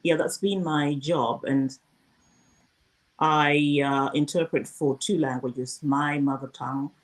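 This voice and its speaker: A woman, a former news presenter talking through her career at a thoughtful, measured pace in a casual interview. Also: low voice, steady tone. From Zimbabwe.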